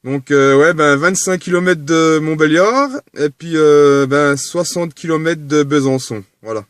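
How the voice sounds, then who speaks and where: A man's voice: fairly high pitch, a little slow, clean tone, no echo, studio quality. A man chatting casually as an amateur radio operator from a parked vehicle, speaking into a two-way radio microphone.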